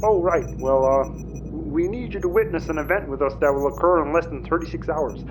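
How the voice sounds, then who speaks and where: An actor playing an awkward, evasive, hesitant stranger who stammers, in a sci-fi audio comedy. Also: male voice, fairly high pitch, brisk, over music, close to an everyday voice, rough recording. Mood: nervous, evasive. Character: awkward, evasive stranger offering a suspicious job.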